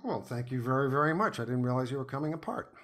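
A middle-aged or older American man from New York City, chatting casually as an interview guest and telling anecdotes in an animated way. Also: medium pitch, fast. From New York City, United States. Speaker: podcast guest, playwright.

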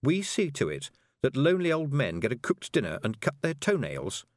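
A man's voice: medium pitch, wildly swooping pitch, normal speed, dry recording, studio quality, pitched above the performer's own voice. A man voicing an earnest, headstrong young girl apprentice witch, questioning and a bit sarcastic.

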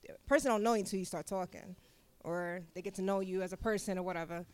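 A woman's voice: lowish voice, fairly even intonation, fast talker, darkish tone, clean tone. A middle-aged woman from Philadelphia with a Philly accent, giving blunt, profane advice as co-host of a live podcast. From Philadelphia, USA. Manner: blunt, profane, laughing, preachy. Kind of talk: live podcast talk before an audience.

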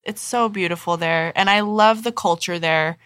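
A woman's voice: on the low side, moderate pace, clean tone, dry recording. Gushing woman host, dry close sound. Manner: warm, gushing and encouraging. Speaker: podcast host. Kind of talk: casual interview chat.

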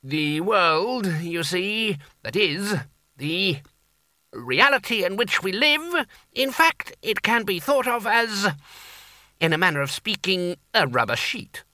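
A man's voice: high-pitched, sing-song, takes it slowly, pushed and bright, no room sound, pitched above the performer's own voice, exaggerated voice. A man voicing a fussy, rambling wizard law lecturer who hesitates and stitches together fractured clauses. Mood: flustered. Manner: flustered, hesitant, wandering clauses with ums.

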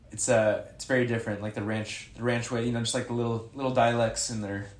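A man's voice: mid-range voice, little pitch movement, a little fast. A young man who is a guest on a podcast, chatting casually in a rambling way and correcting himself. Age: young adult. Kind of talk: casual interview chat. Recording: echoey room.

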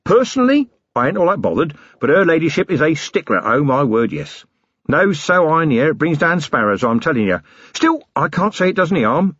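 In an audiobook, a man voices a rough older tycoon who got rich on rubbish. The voice sits raised and loud, blunt and matey, with a husky edge. The sound is dry and a little dull. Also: on the high side, big pitch swings, fairly quick, dry recording, voice pushed up, exaggerated voice. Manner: blunt, matey working-class bluster. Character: rough, ruthless older male scrap-and-muck tycoon. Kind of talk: audiobook character voice.

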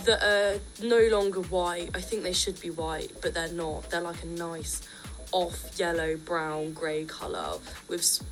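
A woman's voice: on the low side, rough recording. Chatty young woman on student radio. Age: young adult. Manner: chatty, jokey, opinionated. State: croaky voice. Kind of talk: casual radio chat.

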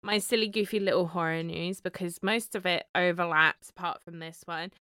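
A woman co-hosting a podcast in casual chat, animated and laughing constantly. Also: lowish voice, moderate pace, clear, resonant tone, no echo, studio quality. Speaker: podcast co-host.

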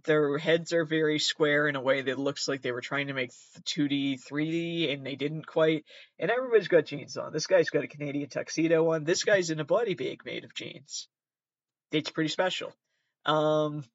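A man with a fairly high voice gives loose, off-the-cuff reaction commentary. He is sardonic and sweary, often half talking to himself. The sound is slightly dull and lo-fi. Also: dry recording. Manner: sardonic, sweary asides and muttered self-talk. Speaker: host. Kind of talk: casual rambling reaction commentary, reading aloud from web articles.

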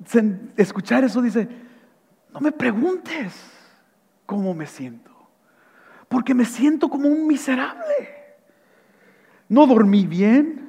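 High-voiced man preaching in a reverberant hall. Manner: swings from loud excited voices to soft hushed lines. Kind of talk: animated sermon with acted-out anecdotes.

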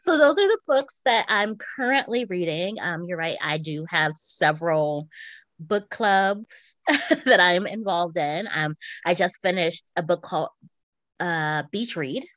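A woman with a slightly low voice and a close, dry sound co-hosts a casual podcast. She talks in an enthusiastic, conversational way, like someone sharing recommendations. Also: unhurried, a bit bright, dry recording. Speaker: podcast co-host. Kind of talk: casual chat, recommending books.

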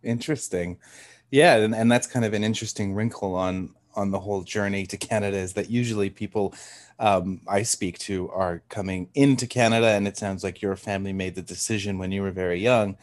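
A man's voice: fairly low pitch, steady tone, a little fast. A man hosting an interview podcast, asking questions in a friendly, curious way. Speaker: podcast host. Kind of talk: casual interview.

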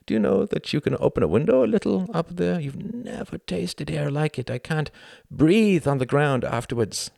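A man's voice: a little high, expressive, normal speed, gravelly, hardly any pauses, warm tone, no echo, clean studio sound, voice pushed up. A man doing a brooding young German man. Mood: teasing.

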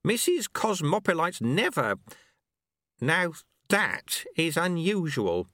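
A man voicing a chatty, excitable old monk mentor who fusses and exclaims as he goes. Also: on the high side, slow, no room sound, studio quality, pitched above the performer's own voice, full character voice. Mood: alert, fussing. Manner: exclamatory, fussy, rambling.

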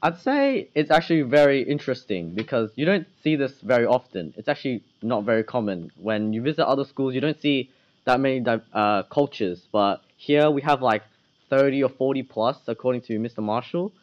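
A teenage student who hosts a school podcast, talking in a casual, earnest way. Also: male voice, animated, a little fast. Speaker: student interviewer. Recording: dull low-fidelity school recording.

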